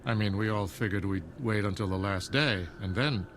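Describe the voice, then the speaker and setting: An actor playing a disapproving, suspicious member of a secret group, measured and stern, in an audio drama. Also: male voice, lowish voice, a bit bright, a little hiss or hum, close to an everyday voice. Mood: cold disapproval.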